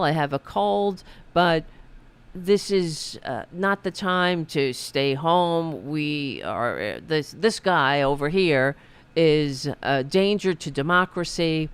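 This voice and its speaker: A man's voice: on the high side, fairly bright tone, clean tone. A man hosting a podcast, delivering an impassioned political commentary monologue with many restarts. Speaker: podcast host.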